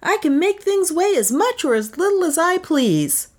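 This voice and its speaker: A woman voicing an eccentric, boastful male inventor in a storybook, excitable and bashful, with grandiloquent mangled long words. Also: extremely high pitch, normal speed, voice pushed up. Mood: insistent.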